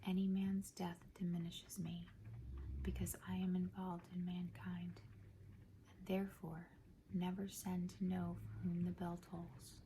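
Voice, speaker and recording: A woman speaking softly in a breathy half-whisper, gentle and measured, as an ASMR host reading to listeners. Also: on the low side, monotone, a little hiss or hum. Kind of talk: soft-spoken ASMR poetry reading.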